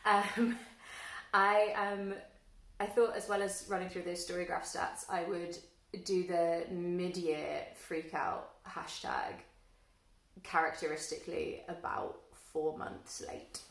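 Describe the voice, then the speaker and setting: Girl rambling about books, lots of ums, kinda low voice, roomy echo. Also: flat delivery, takes it slowly, gravelly. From London, England. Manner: self-deprecating, wry, many fillers. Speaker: book vlogger. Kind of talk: casual self-introduction to camera. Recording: echoey room, a little far from the mic.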